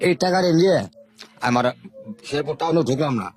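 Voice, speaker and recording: Fast-talking older man. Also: on the high side, a little mellow. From Bangladesh, Sherpur. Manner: unhurried rustic storytelling, repeating words. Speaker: interview guest. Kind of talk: telling his life story in an interview.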